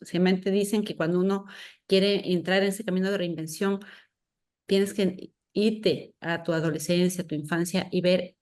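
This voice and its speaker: A woman's voice: mid-range voice, darkish tone. A woman hosting a podcast, talking reflectively and searching for her words as she goes. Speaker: podcast host. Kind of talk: reflective conversational talk.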